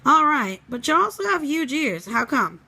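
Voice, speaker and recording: A woman playing a polite, inquisitive young girl in a storybook reading, with an expressive, questioning manner. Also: high voice, moderate pace, gravelly, pitched above the performer's own voice, exaggerated voice. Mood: curious, suspicious.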